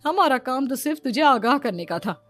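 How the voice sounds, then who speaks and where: A woman's voice: high-pitched, lively intonation, brisk, soft background music. A woman playing a gossipy middle-aged neighbour in a dramatized moral story, judgmental, preachy and insinuating, drawing out her words.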